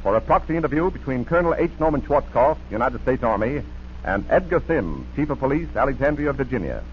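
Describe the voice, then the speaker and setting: Animated 1940s radio announcer, a man. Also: mid-range voice, fast. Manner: polished, emphatic salesmanship. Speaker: radio announcer and sponsor pitchman. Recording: dull, hissy 1940s radio broadcast.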